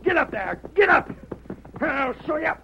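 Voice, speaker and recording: Gravelly, desperate western outlaw. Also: male voice, extremely high pitch, very animated, unhurried, exaggerated voice. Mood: frantic, defiant. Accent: American Western. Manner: muttering and blustering in rustic dialect. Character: desperate, snarling cowardly Old West outlaw. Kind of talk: old-time radio western acting. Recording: dull, noisy old radio recording.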